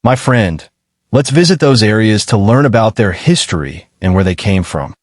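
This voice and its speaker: A man hosting and narrating a documentary, reading a measured, explanatory script and addressing viewers directly. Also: lowish voice, animated, no room sound.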